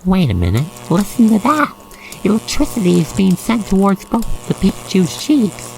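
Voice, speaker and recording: Creaky narrator voice, really animated n gushy, asmr roleplay storytelling straight to the listener, faint cricket noise. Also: medium pitch, moderate pace, rough recording. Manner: expressive, gushing, sentimental.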